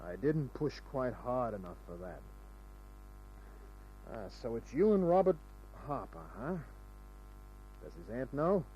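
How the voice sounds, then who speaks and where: A man's voice: medium pitch, expressive, measured pace, pauses often, close to an everyday voice. Man acting a hard-boiled radio detective, dull noisy recording. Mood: sardonic. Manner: hard-boiled, sardonic, clipped. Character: tough, sardonic male private detective. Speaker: actor playing the lead and narrator. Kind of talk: old-time radio crime acting.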